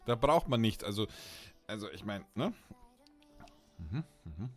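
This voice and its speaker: A man's voice: fairly low pitch, big pitch swings, a little slow, husky, soft background music. A man chatting casually on a gaming live stream, teasing and bantering playfully in crude, slangy language. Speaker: game streamer.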